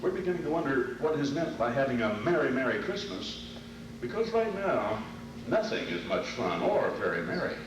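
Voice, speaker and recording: Male presenter, lively old-time radio announcing; very reverberant, noisy hall pickup. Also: mid-range voice, moderate pace. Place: convention stage before an audience. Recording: echoey noisy hall, distant microphone.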